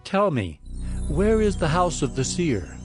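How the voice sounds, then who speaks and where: A man's voice: fairly high pitch, very animated, unhurried, faint music behind. An actor playing a humble, concerned young man in a dramatized scripture, earnest and questioning.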